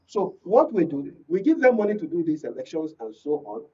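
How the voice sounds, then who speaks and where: Husky, muffled Nigerian man, a panel guest. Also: fairly high pitch, very animated, brisk, mellow. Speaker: panel guest from the creative industry. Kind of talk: political discussion on a radio and TV panel. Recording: muffled, distant-mic, lo-fi studio pickup.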